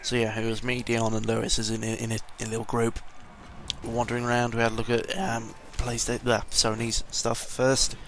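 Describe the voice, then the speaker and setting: A man's voice: mid-range voice, monotone, a little fast, creaky. A seventeen-year-old British young man rambling casually through an audio log, hesitant and tangential with mild swearing, sounding a bit under the weather. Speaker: vlogger. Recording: noisy home microphone recording.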